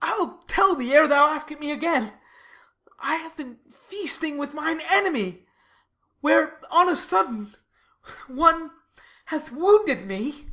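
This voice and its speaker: A woman's voice: high voice, animated, slow, pushed and bright, exaggerated voice. A woman playing an eager, lovestruck young man in a classical verse drama, speaking with ardent, earnest expression. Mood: playful, excited.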